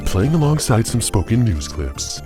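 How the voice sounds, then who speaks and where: A man's voice: mid-range voice, very animated, moderate pace, music in the background, lightly acted. A man playing a honeyed, condescending jazz radio host with a menacing edge, in an audio drama. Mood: syrupy, suave. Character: smooth-talking jazz radio host, syrupy yet menacing.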